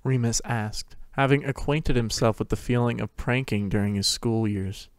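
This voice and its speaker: A man's voice: medium pitch, moderate pace, dry recording, studio quality. A man reading scripted third-person narration for a story.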